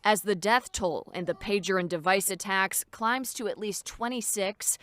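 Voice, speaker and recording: A woman's voice: mid-range voice, normal speed. A woman reporter with an American accent reading a scripted news narration.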